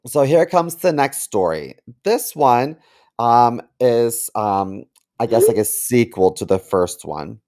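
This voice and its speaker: A man's voice: medium pitch, lively intonation, slow, darkish tone. A man co-hosting a comedy podcast in casual banter and comic story reading. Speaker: podcast co-host.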